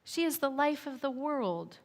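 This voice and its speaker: A woman voicing a wise, ancient female turtle who speaks in slow, soft, solemn pronouncements. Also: fairly high pitch, big-room sound.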